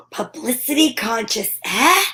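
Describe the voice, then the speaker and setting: A woman's voice: a little high, animated, slow pace, sharp tone, full character voice. A woman playing a breezy, wisecracking private detective in an amateur old-time radio drama, wry and playful.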